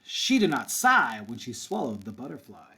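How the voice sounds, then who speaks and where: A man's voice: medium pitch, wildly swooping pitch, normal speed, background noise. A man reading a children's picture book aloud like a kindergarten teacher, with casual asides and encouraging, simple, sing-song delivery for young children.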